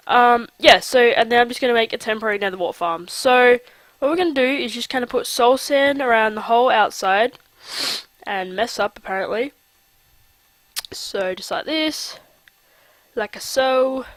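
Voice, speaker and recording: A man's voice: very high-pitched, a bit bright, no room sound. A young man with a nasal, sick-sounding voice, casually narrating his game in a rambling way. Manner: chatty, rambling with fillers. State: nasal from a cold. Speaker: let's play host. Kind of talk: casual gameplay commentary.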